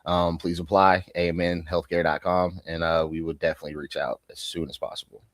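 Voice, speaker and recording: A man working as a recruiter, answering a question in a relaxed, casual, matter-of-fact way. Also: low-pitched, a little fast, dry recording.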